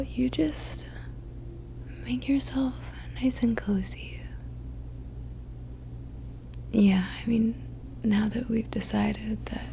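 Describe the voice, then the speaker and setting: Slow, whispering ASMR woman. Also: on the high side, slight background noise. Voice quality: soft, breathy whisper. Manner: gentle, soothing, unhurried. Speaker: ASMR roleplayer. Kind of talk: whispered ASMR roleplay as a caring friend.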